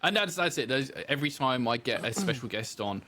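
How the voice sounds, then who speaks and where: A man's voice: medium pitch, expressive, fairly quick, pushed and bright. A man talking casually as a guest in a podcast interview, telling anecdotes with self-deprecating asides.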